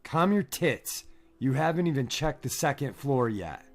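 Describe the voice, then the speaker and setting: A jokey young boyfriend in an audio horror story, acted by a man. His delivery is very animated, swinging up and down as he needles his friends, with faint music underneath. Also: mid-range voice, measured pace. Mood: dry, joking. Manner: jokey, teasing. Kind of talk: audio drama dialogue.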